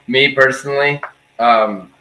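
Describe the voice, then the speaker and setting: A man's voice: mid-range voice, a little slow, pushed and bright, low-quality recording. A man of forty, a band guitarist, chatting easily in an interview and laughing often. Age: 40s. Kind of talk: casual interview chat.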